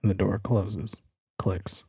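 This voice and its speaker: A man runs a tabletop horror game as its game master. His voice is on the low side, relaxed and husky. He moves between scene narration and casual, teasing banter, in a dry, close sound. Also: slow, no room sound.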